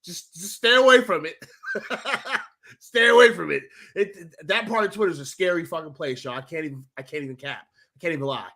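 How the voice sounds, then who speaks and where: A man hosting a casual sports talk stream, giving shout-outs in a loose, profane, rambling way full of restarts. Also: a little high, brisk, creaky.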